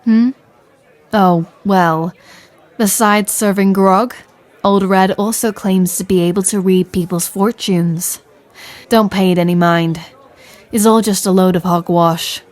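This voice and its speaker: A woman's voice: medium pitch, fairly even intonation, takes it slowly, darkish tone, close to an everyday voice. A woman playing a proud, skeptical female pirate captain in an audio roleplay, brusque, grumbling and dismissive. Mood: scornful, grumbling. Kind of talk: character audio roleplay acting.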